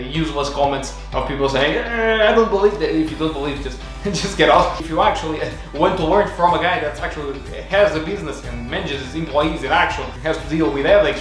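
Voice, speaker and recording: A man's voice: mid-range voice, a bit bright. A man with a thick Portuguese accent, talking casually and confidently as a podcast host, with many fillers and restarts. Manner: chatty, self-assured, many fillers and restarts. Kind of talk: casual talk to camera. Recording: very echoey room, faint music.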